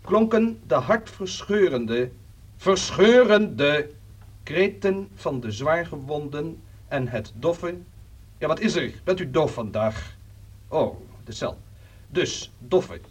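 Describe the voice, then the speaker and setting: Brief: actor as a brusque newsman, husky and lively, a little off mic. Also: a little high, far from the mic, heavily acted. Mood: dictating. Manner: brusque, hurried, dictating a report. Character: pushy male newspaper reporter. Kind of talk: radio play acting.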